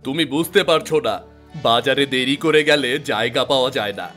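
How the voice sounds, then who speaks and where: A man's voice: medium pitch, a little fast, fairly bright tone, soft background music, close to an everyday voice. A man voicing a downtrodden, earnest village cobbler in a fairy-tale cartoon, plaintive and resigned. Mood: defensive, weary.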